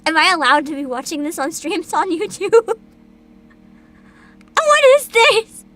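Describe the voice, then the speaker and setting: Very high-pitched, bright woman livestreamer. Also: measured pace. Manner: animated, exclamatory, giggly, swears freely. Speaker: livestream host, VTuber. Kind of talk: casual livestream reaction commentary, chatting with viewers.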